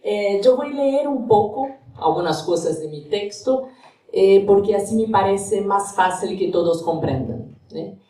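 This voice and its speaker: A woman's voice: medium pitch, animated, measured pace, dark tone. A Brazilian woman, Portuguese her first language, giving an academic lecture with a Brazilian Portuguese accent, careful and searching for words in a second language. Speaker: guest lecturer. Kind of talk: academic lecture, partly read aloud. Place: university lecture hall. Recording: echoey hall from far off.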